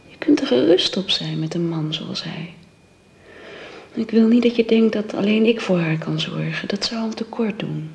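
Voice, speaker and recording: An actress in a radio drama playing a caring, deferential woman of the household, hesitant, gentle and often hushed. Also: medium pitch, moderate pace, vocal fry, lightly acted. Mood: earnest, reassuring. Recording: dull band-limited sound, faint noise.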